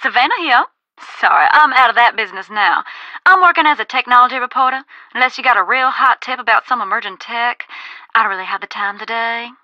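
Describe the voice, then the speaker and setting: A woman's voice: fairly high pitch, quick pace, sharp tone, no room sound, lo-fi sound. An actress playing a brusque, sardonic Southern American woman reporter in a video game, folksy and blunt, heard through a phone filter. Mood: brusque, impatient.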